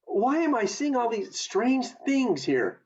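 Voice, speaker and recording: A bewildered, agitated everyman in an amateur radio play, voiced by a woman. The voice is highish and swings about in pitch with urgent stammers. It comes through a dull video-call line. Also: big pitch swings, takes it slowly, darkish tone. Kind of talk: community theatre radio play acting.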